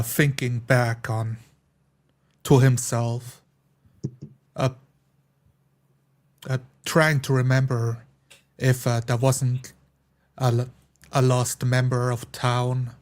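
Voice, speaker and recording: A man describing his character's actions in a tabletop game, hesitant and searching for words. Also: medium pitch.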